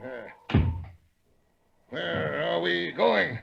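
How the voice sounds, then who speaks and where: A heavily gravelled, sharp-edged male voice on a muffled vintage radio recording. a wealthy town boss, gruff and guilty, with fear under his bluster, in theatrical thriller style. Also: fairly high pitch, expressive, drawn-out words, full character voice. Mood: frightened, pleading. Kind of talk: 1930s radio drama acting.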